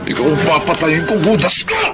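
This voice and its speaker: A man's voice: on the high side, wildly swooping pitch, fairly quick, over loud music. A Filipino man playing an arrogant, successful rival in love in a radio drama, haughty and taunting. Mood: furious.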